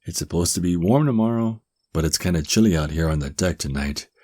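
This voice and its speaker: A young man acting a shy, flustered guy in love with his best friend in an ASMR roleplay, speaking softly and hesitantly with stammers. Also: deep voice, lively intonation, a little fast. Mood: happy, tender. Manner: hesitant, stammering, breathy sighs and chuckles. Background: crickets chirping.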